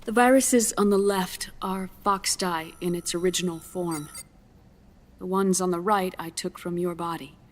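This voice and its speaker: A woman playing a grave scientist in a video game, explaining a dire diagnosis in a measured, solemn, clinical manner. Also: on the low side, steady tone, lightly acted. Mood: explanatory, urgent. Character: grave female scientist gently delivering a dire prognosis. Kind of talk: dramatic video game cutscene acting.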